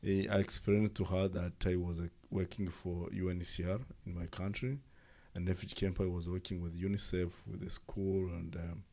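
A middle-aged man from Rwanda with a Rwandan accent, a non-native English speaker, telling his own story haltingly in an interview. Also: low voice, level tone, warm tone. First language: not English. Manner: halting, pauses often. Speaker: interviewee, former refugee. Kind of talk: personal account in an interview.

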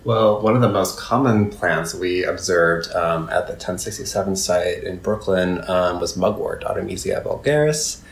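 Gravelly deep-voiced man, echoey room. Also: moderate pace, hardly any pauses. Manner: hesitant, many fillers. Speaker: ecology scientist. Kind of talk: conversational interview. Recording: echoey room with faint noise.

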